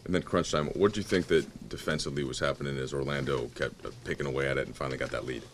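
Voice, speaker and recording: A male sports reporter asking rapid, rambling postgame questions with restarts. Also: a bass voice, steady tone, noisy background.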